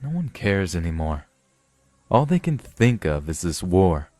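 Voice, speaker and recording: A man reads as a gentle wife worn down by war in a science fiction audiobook. The delivery is soft and resigned, slow, with a dry sound. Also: low voice, sing-song, no echo, heavily acted.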